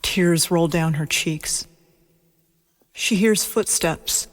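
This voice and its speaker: A woman's voice: fairly low pitch, very slow. A woman reading scripted narration for a horror audio drama.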